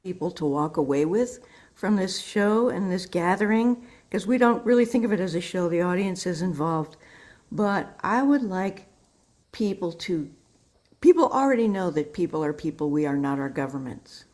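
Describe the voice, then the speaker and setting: An American woman, a musician, talking in an interview in deliberate, emphatic phrases. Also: fairly low pitch, animated, darkish tone. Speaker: musician, guest. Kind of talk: casual interview talk.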